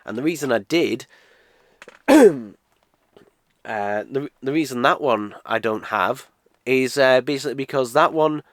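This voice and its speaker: A man's voice: mid-range voice, a little slow, pauses often, dry recording. A British man in his twenties with a cold, talking through a casual game unboxing review in a rambling way, full of uhs and restarts. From United Kingdom. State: nasal from a cold.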